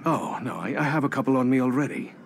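A man's voice: medium pitch, gravelly, background noise, close to an everyday voice. Courteous, dry heist professional played by a man. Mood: reserved. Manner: courteous, measured, dryly puzzled. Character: polite, dry, bemused male hired heist expert. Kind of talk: video game cutscene acting.

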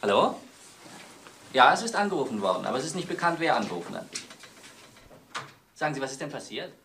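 Sharp-voiced, puzzled young nephew. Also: male voice, medium pitch, big pitch swings, quick pace, gravelly, slight background noise. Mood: puzzled, urgent. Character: puzzled, hurried nephew answering the phone. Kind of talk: German crime radio play acting.

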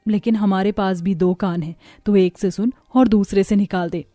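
A woman voicing a sensible, calming elder village sister in a cartoon story, advising steadily. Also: mid-range voice, normal speed, no echo, clean studio sound, faint music behind, lightly acted.

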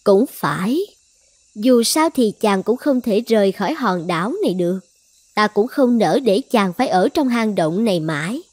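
A woman's voice: medium pitch, expressive, moderate pace, darkish tone, slightly rough, no echo. A woman voicing a possessive, lovesick sorceress princess in a cartoon fairy tale, commanding and coaxing with an edge of menace. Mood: wary, relenting.

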